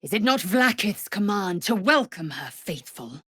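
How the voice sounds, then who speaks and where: A woman's voice: mid-range voice, sing-song, measured pace, fairly bright tone, slightly rough, dry recording, clean studio sound, full character voice. A woman playing a fierce, imperious young Githyanki alien warrior in a video game, with a clipped, haughty, commanding way of speaking and formal phrasing. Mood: haughty, disdainful. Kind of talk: video game character voice lines.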